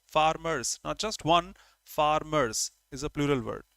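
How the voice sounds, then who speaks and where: A man with an Indian English accent teaches an online grammar class. He works through each point step by step and repeats key words for his students. His voice sits a bit higher than most men's and sounds dry and close. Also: unhurried, a bit bright, no echo. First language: Hindi.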